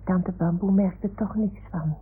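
A woman playing a small woolly toy dog in an old radio play, excitable and confiding, with childlike, theatrical earnestness. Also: medium pitch, measured pace, slightly rough. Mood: earnest. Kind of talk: 1960s-70s radio play acting. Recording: tinny, noisy old radio recording.

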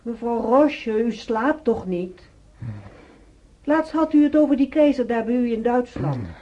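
An actress playing a chatty, opinionated middle-aged hospital roommate in a radio drama, insistent and self-assured, with a husky, mellow voice. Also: female voice, fairly high pitch, big pitch swings, unhurried. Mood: prying. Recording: slightly dull radio play recording.

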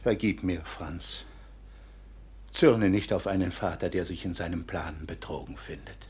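An actor playing a frail, honourable old father in a classical radio play, solemn and full of pathos, with a husky, breathy voice. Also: sits low, unhurried, a little mellow, exaggerated voice. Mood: sorrowful, tender. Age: older.

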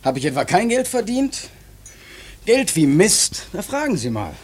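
Volatile young braggart painter. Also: male voice, high voice, big pitch swings, a little slow, husky, exaggerated voice. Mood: boastful. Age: young adult. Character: defiant, boastful young male painter, lovesick and touchy. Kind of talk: German radio play acting.

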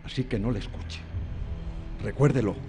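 A man acting in a radio drama as a veteran exorcist priest, grave, measured and authoritative. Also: medium pitch, close to an everyday voice. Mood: solemn, warning. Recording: music bed under the voice.

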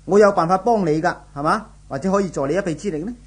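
An actor playing a friendly, inquisitive, breezily reassuring office colleague in a 1970s radio drama, with a Hong Kong Cantonese accent. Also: male voice, high-pitched, quick pace. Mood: concerned, reassuring.

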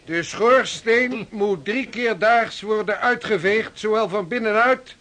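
Severe high-voiced ship's officer. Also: male voice, slow, pushed and bright, full character voice. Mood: formal, stern. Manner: formal, declamatory, authoritative. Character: severe, formal ship's officer and expedition leader. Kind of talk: radio drama acting.

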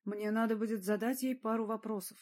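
A woman voicing an excitable, self-important male scandal journalist in an audiobook. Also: a little high, brisk, lightly acted. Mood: gloating, excited. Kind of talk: audiobook character voice.

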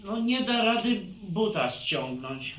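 An actor playing a grumpy, insolent young village son in a radio drama, grumbling in a surly way. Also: male voice, high voice, sing-song, takes it slowly, a bit bright, exaggerated voice, rough recording. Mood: irritated, surly.

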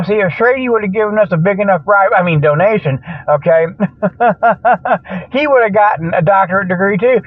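A man's voice: high-pitched, no echo. A man hosting a comedic radio show, rambling along in a folksy, colloquial, joking monologue. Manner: folksy, colloquial, jokey babbling with frequent chuckles. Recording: thin phone-like radio sound.

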